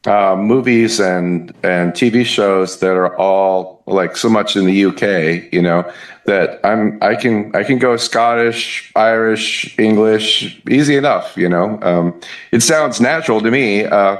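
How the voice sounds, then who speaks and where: A man in his fifties from the Southern United States with a Southern US accent, chatting as a musician guest in an interview, relaxed and hesitant with many fillers. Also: on the low side, unhurried. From Southern United States (Kentucky, Memphis). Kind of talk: casual interview chat. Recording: echoey room.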